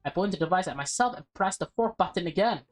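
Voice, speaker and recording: A woman reading a visual novel aloud as a let's play host, expressive and breaking into casual, offhand asides. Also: lowish voice, fast talker, faint music behind.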